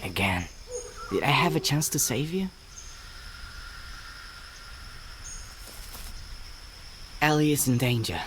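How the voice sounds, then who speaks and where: A man's voice: medium pitch, animated, fairly quick, background noise, natural voice. A man playing an earnest, weary young boy hero in a video game, with music and night insects behind him. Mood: troubled. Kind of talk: video game character acting.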